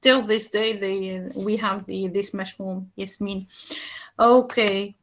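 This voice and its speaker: A woman's voice: mid-range voice, little pitch movement, slow pace. A woman from Tunisia with a Tunisian accent, a native Arabic speaker, giving a slide presentation in a hesitant way, with many fillers and restarts.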